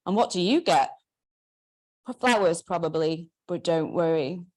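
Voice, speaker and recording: A woman's voice: lowish voice, measured pace, darkish tone, close to an everyday voice. A woman voicing her blunt, wry, devout older mother, talking plainly and bluntly. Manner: blunt, accusing.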